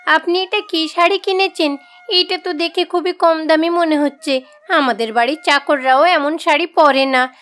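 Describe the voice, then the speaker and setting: Casting: actress as arrogant wealthy village woman, cartoon fairy tale, high voice, imperious and showy. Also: fairly bright tone. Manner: haughty, demanding. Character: rich, arrogant village woman, boastful and scornful.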